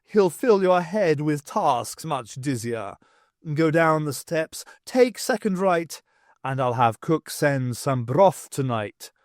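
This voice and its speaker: A man's voice: fairly high pitch, lively intonation, slow pace, no echo, clean studio sound. A man voicing a cross, bossy pantomime king who declaims in rhyme in a storybook. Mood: commanding.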